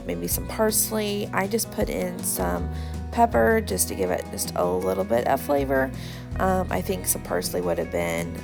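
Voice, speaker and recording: Hoarse, chatty woman cooking vlogger. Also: medium pitch. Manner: chatty, with frequent ums and likes. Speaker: cooking channel host. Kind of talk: casual cooking vlog chat.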